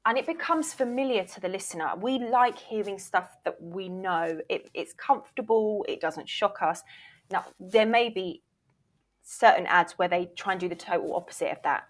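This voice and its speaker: A woman's voice: mid-range voice, moderate pace. Encouraging, emphatic woman in interview. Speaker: interview guest, voiceover reel producer and former agent. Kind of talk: casual interview talk, giving advice.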